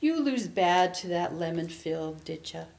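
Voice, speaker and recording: A woman's voice: on the low side, animated, a little slow, creaky, darkish tone, far from the mic. A woman reading aloud as a brash, flirty small-town street woman of twenty-nine, with a drawl. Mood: flirty, teasing. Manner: drawling, coaxing, sneering. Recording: echoey room, public event recording.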